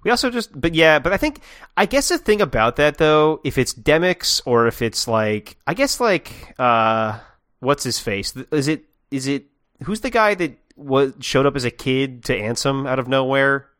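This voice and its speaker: A man with a mid-pitched, very lively voice chats as a podcast co-host. He rambles playfully, stopping and restarting as he thinks aloud. The sound is close and dry. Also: moderate pace, smooth, no room sound. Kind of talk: casual podcast chat, joking theorizing.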